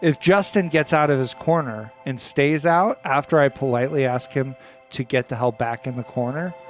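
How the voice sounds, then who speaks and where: A man hosting a comedy podcast in a casual, deadpan way with a deliberate, halting delivery. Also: mid-range voice. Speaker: podcast host.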